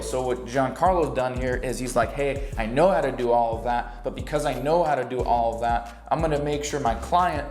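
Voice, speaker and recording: A man's voice: mid-range voice, echoey room. A man hosting an online interview in a casual, upbeat and joking manner. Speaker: host.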